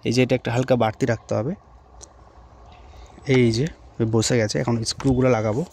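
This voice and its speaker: Male vlogger for a hands-on install tutorial: very quick, informal, unscripted delivery, mid pitch, noticeable background noise. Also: fairly even intonation, a little mellow. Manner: informal, improvised, talking while working with hands. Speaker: motorcycle vlogger presenting an unboxing and installation. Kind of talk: casual hands-on tutorial chat to viewers. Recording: clear background ambient noise.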